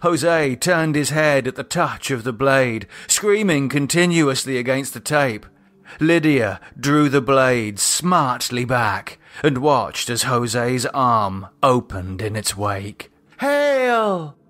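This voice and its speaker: A man reads a horror novel aloud as an audiobook narrator. His delivery is slow and measured, with dramatic storytelling weight at a medium male pitch. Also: a bit bright.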